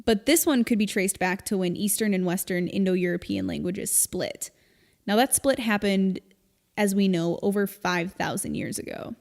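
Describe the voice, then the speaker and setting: A woman co-hosting a podcast, explaining things in casual, informal chat with an animated storytelling manner. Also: medium pitch, normal speed, vocal fry. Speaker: podcast co-host. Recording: long reverb, hall-like room.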